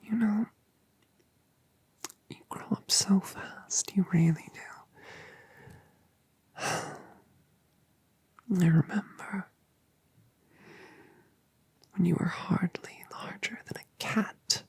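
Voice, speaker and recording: A woman voicing a colossal female dragon mother in an ASMR roleplay, whispering softly and tenderly with a breathy voice. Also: medium pitch, dry recording, heavily acted. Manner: soft, slow, tender reassurance.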